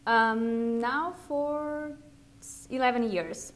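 A woman's voice: on the high side, steady tone, takes it slowly. A young woman whose first language is Ukrainian, speaking hesitantly on a video call from a home office and searching for words. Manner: hesitant, searching for words, non-native phrasing. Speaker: guest contestant. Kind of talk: casual video call chat.

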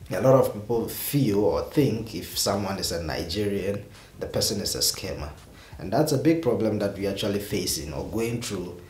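Young male vlogger, lowish voice, exasperated and rambling, echoey room with faint hiss. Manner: exasperated, rambling with repeated restarts. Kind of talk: casual talk to camera. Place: small indoor room with purple lighting.

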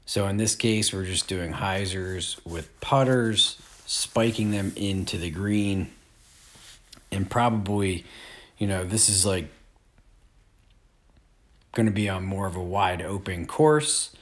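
Slow-talking man explaining drills. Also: low-pitched. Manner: relaxed, unhurried explaining with fillers. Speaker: disc golf instructor. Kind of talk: casual instructional voice-over. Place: outdoor field.

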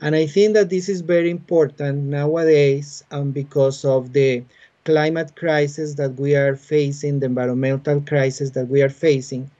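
A Costa Rican man in his forties speaks English with a Spanish accent, his voice pitched a little high but soft and warm. He explains things patiently, pausing on frequent "uh"s. The sound is muffled, like a laptop mic on a video call. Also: steady tone. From Costa Rica. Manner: patient, halting, many "uh" fillers. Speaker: biologist and university teacher. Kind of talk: explanatory interview answers. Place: home room with bookshelves. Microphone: laptop webcam on a video call.

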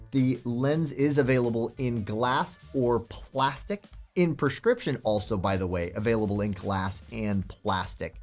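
A man's voice: mid-range voice, darkish tone. A young man hosting a product review to camera, chatty and enthusiastic in a salesman way, with faint music behind him. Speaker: host.

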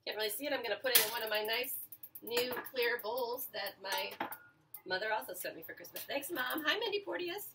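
A woman's voice: a little high, lively intonation, fairly quick, heavy background noise. A woman in her forties hosting a casual home cooking show, chatting to viewers as she cooks, with fillers and self-corrections. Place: small home kitchen. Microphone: camera mic a few feet away. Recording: home kitchen with clattering pans.

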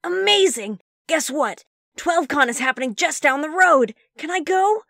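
Woman as a young cartoon boy, high and eager, very animated, pleading. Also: measured pace, vocal fry, dry recording, clean studio sound, full character voice. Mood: excited, pleading. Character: excitable, pleading, easily embarrassed young boy. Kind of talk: animated character audition lines.

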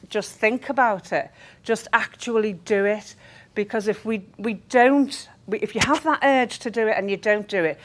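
Middle-aged woman giving an earnest informal talk. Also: mid-range voice. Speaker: group organiser speaking to trainees. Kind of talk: informal training talk to a group. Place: meeting room, indoors. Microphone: clip-on lapel mic.

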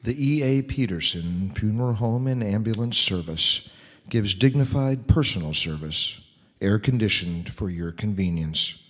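A man's voice: on the low side, lively intonation, measured pace. Middle-aged male announcer, formal old-time radio showman, scripted stage reads, long hall reverb. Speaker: radio show host and announcer. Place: large church sanctuary stage. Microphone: microphone on a stand.

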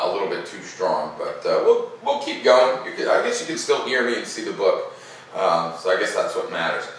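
A middle-aged man hosting a children's read-aloud, mixing expressive picture-book reading with informal, casual asides. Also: deep voice. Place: living room by a fireplace, indoors. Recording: echoey room, distant mic, faint noise.

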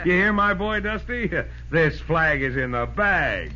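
An actor with an American accent playing a big, jovial baseball star, hearty and boastful, in an old radio drama. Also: male voice, fairly high pitch, animated, measured pace, sharp tone. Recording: dull old radio broadcast.

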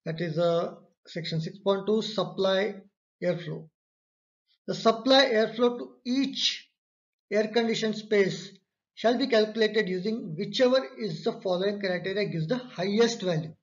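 A man's voice: high voice, measured pace. A man, lecturing in an online course and repeating key phrases for emphasis. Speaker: instructor. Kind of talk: online course lecture walking through slides. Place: indoor room, webcam overlay on slides. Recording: slightly dull lo-fi recording.

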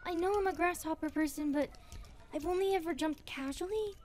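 A woman's voice: very high-pitched, brisk, darkish tone. A woman voicing a nervous, chatty young grasshopper girl full of self-doubt in an ASMR roleplay. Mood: anxious, doubting. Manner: hesitant, chatty. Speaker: roleplay voice actress.

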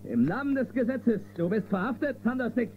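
An actor playing a confident, commanding space-western marshal with a firm, authoritative manner in a children's radio play. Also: male voice, very high-pitched, lively intonation, brisk, crowd noise. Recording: muffled phone-like old cassette sound.

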